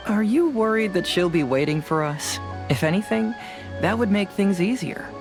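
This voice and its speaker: A woman's voice: fairly low pitch, close to an everyday voice. Woman acting a cool headed young lady detective, game character, matter of fact, methodical, faint music behind. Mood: cool, confident. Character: calm, fearless, analytical young female investigator.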